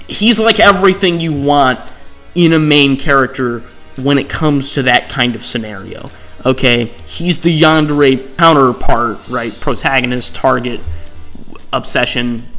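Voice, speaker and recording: A man's voice: mid-range voice, fairly bright tone. A man giving casual, unscripted ranking commentary as a video host, rambling conversationally and often checking in with the listener. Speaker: host of a video game tier list channel. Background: music under the voice.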